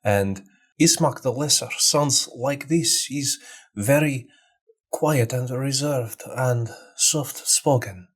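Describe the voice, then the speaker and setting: A man voicing a quiet, reserved, soft-spoken villager with a Romanian accent for a tabletop game. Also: medium pitch, takes it slowly, husky. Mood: subdued.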